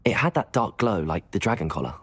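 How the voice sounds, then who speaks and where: An actor playing an eager young student wizard with a polite, earnest manner. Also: male voice, on the low side, very animated, fairly quick, vocal fry, husky, heavily acted.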